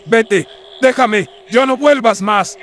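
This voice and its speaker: A man's voice: fairly high pitch, moderate pace, fairly bright tone, slight background noise, voice pushed up, close to an everyday voice. A man playing a frightened, haunted friar in a horror radio drama, with a dramatic, fearful delivery. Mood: terrified, pleading.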